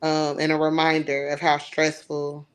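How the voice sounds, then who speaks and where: A woman who directs stage plays, talking in a podcast conversation in a measured, hesitant way with fillers. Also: deep voice, monotone, background noise.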